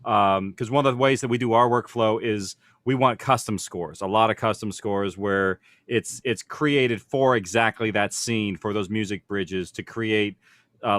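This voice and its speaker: Lowish-voiced man explaining, close and dry. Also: fairly bright tone, no room sound. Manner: explanatory, conversational. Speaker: audio drama producer and panelist. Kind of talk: informal panel discussion.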